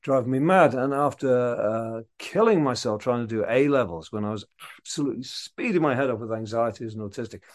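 A man in his fifties from around Liverpool, talking animatedly and laughing at himself as he tells stories. His voice sits at a middling pitch, with a mild English accent. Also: fairly quick. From England, Liverpool area. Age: 50s. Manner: animated, self-mocking, laughs often. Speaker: guest, theatre maker. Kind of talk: casual interview talk.

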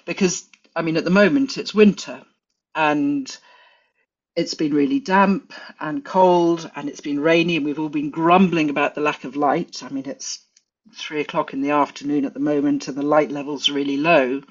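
English woman guest, musing and unhurried, muffled lo-fi recording. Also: low voice, steady tone. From England. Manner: thoughtful, many fillers and restarts. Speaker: guest forager. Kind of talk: casual interview chat.

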